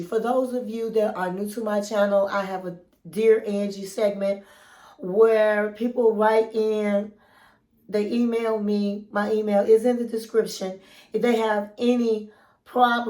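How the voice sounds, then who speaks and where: A woman's voice: a little high, reverberant. A woman with a cold and a nasal voice, hosting her own relationship advice channel and chatting casually with viewers, calling them queens and honey. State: has a cold, sounds nasal. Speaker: relationship coach and channel host. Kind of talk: casual advice vlog chat.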